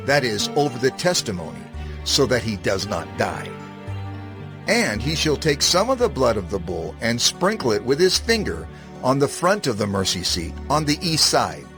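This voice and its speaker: A man narrating scripture from a script in a measured, formal manner. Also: medium pitch. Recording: prominent music bed under narration. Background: music.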